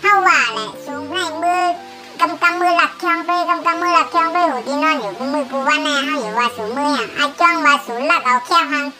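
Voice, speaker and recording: A man's voice: very high voice, quick pace, music in the background, voice pushed up, poor audio. A man voicing a young male villager in a folk tale, speaking earnestly and pleading.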